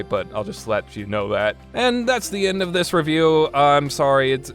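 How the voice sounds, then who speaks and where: Male podcast reviewer, mid-pitched, casual and opinionated, rambling conversational delivery, music underneath. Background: background music.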